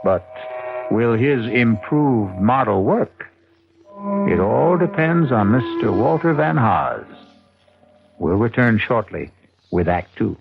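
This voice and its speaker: A gravelly-voiced man narrating as host of a 1970s suspense radio drama. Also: mid-range voice, very animated, slow, long pauses. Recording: tinny 1970s radio broadcast. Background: loud music.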